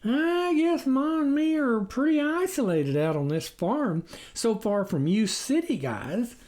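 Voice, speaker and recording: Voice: medium pitch, big pitch swings, measured pace, darkish tone, voice pushed up. A reader voicing a folksy, gullible male farmer in a storybook tale, musing in rustic country talk. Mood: humble.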